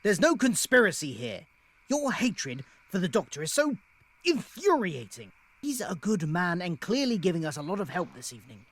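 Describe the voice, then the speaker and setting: A man's voice: sits high, big pitch swings, moderate pace. A man playing a stern, proper father figure in a fan audio drama, speaking in a prim, exasperated way.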